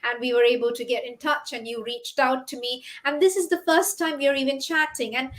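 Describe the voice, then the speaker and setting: A woman's voice: high voice, brisk. A woman in her forties from the UK hosting a live stream interview, chatty, with frequent "you know" and "isn't it". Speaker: host, doctor and business owner.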